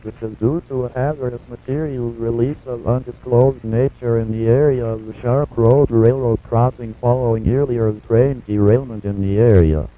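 A man's voice playing an impersonal automated emergency announcer, reading warnings in a flat, formal, measured way. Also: mid-range voice, close to an everyday voice. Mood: impersonal, grave. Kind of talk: scripted emergency broadcast warning. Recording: muffled lo-fi VHS broadcast audio.

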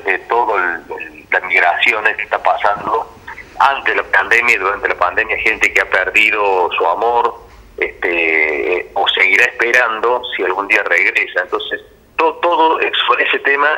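Bright, forceful Argentine man, lowish voice. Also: poor audio. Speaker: theatre director, interview guest. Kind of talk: explanatory radio interview answers.

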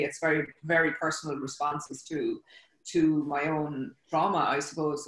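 An Irish woman, a native Irish speaker, muses slowly about her work on a webinar panel. Her voice stays on a flat, even line. The sound comes over a video call from a roomy, echoey home. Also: sits low. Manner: reflective, many fillers. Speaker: panelist, artist. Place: home room.

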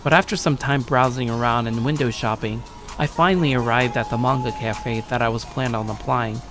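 A man's voice: medium pitch, fairly quick, natural voice. A man playing a jaded, self-conscious young shut-in, telling his story in the first person with weary, sardonic asides. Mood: matter-of-fact. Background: background music.

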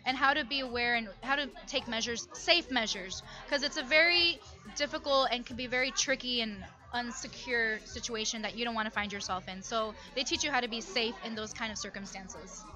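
A woman's voice: on the high side, brisk, few pauses, sharp tone, soft background music. A young woman from Miami co-hosting a live radio broadcast at a lounge, chatty and earnest into a desk mic. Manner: chatty, earnest about a cause. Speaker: radio co-host and fashion line founder. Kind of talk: casual radio talk. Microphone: desk microphone on stand.

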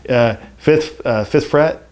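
A man in his forties giving a casual guitar lesson in a home studio, relaxed and rambling with lots of fillers. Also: mid-range voice, slow. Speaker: guitar teacher. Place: home music studio, indoors. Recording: guitar strummed under the voice. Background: acoustic guitar playing.

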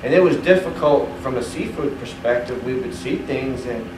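A man's voice: mid-range voice, moderate pace, far from the mic, noisy background. A man on a seafood-industry panel, talking in loose, filler-heavy sentences. He sounds set back from the microphone. The hotel meeting room echoes, and an air conditioner hums behind him. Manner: rambling with many "you know" fillers. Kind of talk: panel Q&A answer. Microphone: distant room mic.

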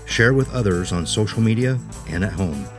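Male announcer, deep voice, formal scripted credits, measured delivery, faint music behind. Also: expressive. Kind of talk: scripted podcast outro and credits.

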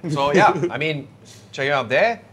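Wry animated co-host. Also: male voice, on the high side. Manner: wry, quick quips. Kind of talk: casual chat. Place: commercial kitchen, indoors.

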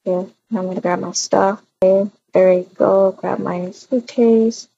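A woman's voice: mid-range voice, steady tone, unhurried, creaky, dry recording, muffled recording. A woman improvising a voiced video-game roleplay, chatting and narrating her own actions aloud.

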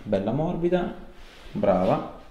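A man's voice: medium pitch, sing-song, slow pace, dull audio, slight background noise. A man, working as a chiropractor and giving informal, short coaxing instructions to a patient. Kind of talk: hands-on clinical instructions and casual chat with a patient. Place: small indoor clinic treatment room. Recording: echoey small treatment room.

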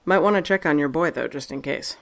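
A woman's voice: deep voice, brisk, creaky. A woman playing Tessa, a tough, sarcastic and profane survivor, in a fan audio drama. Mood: confrontational.

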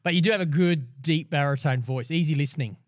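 A man's voice: a little high, fast talker, no room sound. Man, middle-aged, podcast host, casual banter, dry room. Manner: chatty and complimentary. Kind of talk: casual chat. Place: small podcast studio indoors. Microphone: studio mic on boom arm with headphones.

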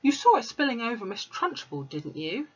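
A woman voicing a small, clever, earnest girl in a read-aloud storybook, with a breathy voice. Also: fairly high pitch, very animated, normal speed, darkish tone, pitched above the performer's own voice. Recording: slightly muffled recording.

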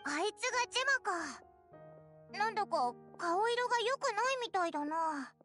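A woman voicing a tiny, childlike, brash fairy companion in an anime game, with a squeaky voice and blunt boyish speech. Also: animated, normal speed, sharp tone, faint music behind, exaggerated voice. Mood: pondering.

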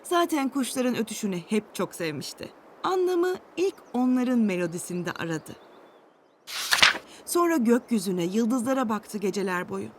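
Female storyteller for children's tales, fairly high register, expressive scripted read, clear background noise. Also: moderate pace.

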